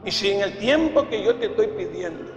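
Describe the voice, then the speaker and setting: A man's voice: sits high, lively intonation, brisk. An older man preaching an impassioned sermon as a pastor, emphatic, with rhetorical questions and repeated phrases. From Ecuador. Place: large church hall, indoors, before a congregation. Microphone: handheld microphone through PA. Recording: echoey church hall, music behind.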